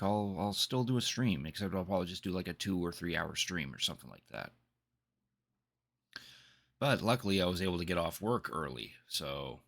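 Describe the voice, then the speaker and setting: A man with a fairly low voice and a bright, forward edge, hosting a game livestream. He talks in a casual, rambling way, full of conversational asides. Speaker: game live streamer, host.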